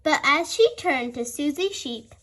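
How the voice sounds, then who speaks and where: Slow, high-voiced woman reading a storybook. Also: lively intonation, a bit bright. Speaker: storybook reader. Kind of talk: expressive children's read-aloud narration.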